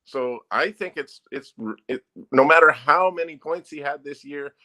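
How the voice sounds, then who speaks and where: A man who is a newspaper sports editor, guesting on a sports talk show. His voice is bright and forceful, and he states his opinions firmly and emphatically. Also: a little high, moderate pace.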